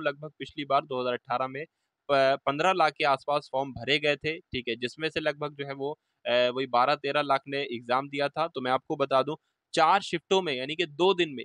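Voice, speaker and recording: A man's voice: a little high, fairly bright tone, no room sound. A man giving an informal explanatory lesson like an exam coach, repeating points and often checking that listeners follow. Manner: repeats points, asks and answers own questions, checking in often. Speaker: exam-news presenter and coach.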